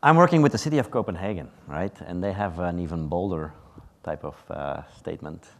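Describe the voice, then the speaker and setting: A man's voice: low-pitched, brisk. A man speaking as a panelist in a conversational way, with hesitant fillers. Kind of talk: panel discussion. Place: large conference hall. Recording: reverberant hall.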